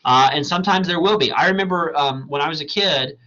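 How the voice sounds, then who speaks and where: A man teaching a college writing class in a recorded slideshow lecture, talking in a loose, conversational way, tagging "right?" onto his points, his voice going hoarse. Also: fairly high pitch, fast talker, bright, forceful tone, lo-fi sound. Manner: conversational and digressive, asks "right?" often. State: losing his voice.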